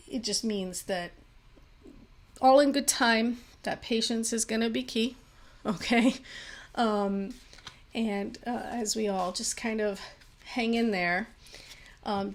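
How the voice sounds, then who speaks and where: A young woman giving a pick-a-card tarot reading, talking in a rambling way with many fillers and restarts, her voice a little worn. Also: mid-range voice. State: slightly losing her voice. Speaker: tarot reader.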